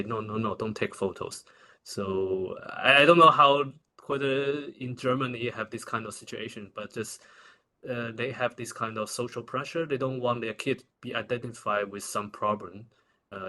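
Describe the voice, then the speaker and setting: A man with a Taiwanese accent answering questions as a researcher at a conference, in halting, informal phrasing with repeated words. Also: medium pitch, pushed and bright. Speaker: researcher presenting.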